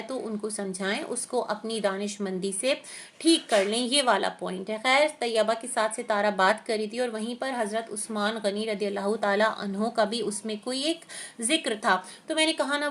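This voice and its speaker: A woman reaction vlogger with a sore throat, giving opinionated, explanatory commentary with frequent hesitant pauses. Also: on the high side, a bit bright.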